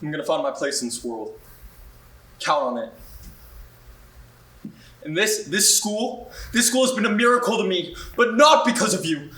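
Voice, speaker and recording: An actor performing a monologue as a defiant young male student, proud and hurt, confrontational and building to shouts. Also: high-pitched, expressive, normal speed, long pauses. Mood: resolute. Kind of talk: dramatic monologue. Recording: roomy sound, mic far off.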